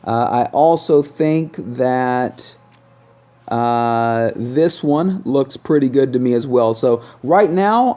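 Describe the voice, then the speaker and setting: Mellow male gaming host, slightly muffled. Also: mid-range voice. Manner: conversational, thinking aloud. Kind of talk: casual game review commentary. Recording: dull, lo-fi sound.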